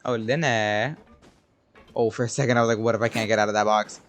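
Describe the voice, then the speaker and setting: A man's voice: on the low side, fairly even intonation, fast. A young man giving informal, sweary live reaction commentary as he plays a game. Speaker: gameplay streamer. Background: game music.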